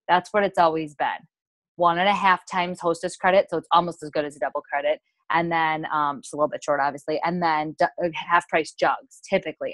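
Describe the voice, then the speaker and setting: An American woman with a slightly low voice coaches her team on a casual call. She is enthusiastic and chatty, often wandering into asides. The sound is dry, with little room in it. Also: dry recording. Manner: enthusiastic, peppy, rambling with asides. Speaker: sales team leader hosting a coaching call.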